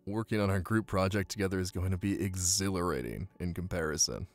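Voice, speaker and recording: A man acting as a confident, dominant young college hypnotist in an ASMR roleplay, speaking softly with soothing command. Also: deep voice, faint music behind. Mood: casual, teasing. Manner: soft, commanding, soothing, deliberate. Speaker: voice actor.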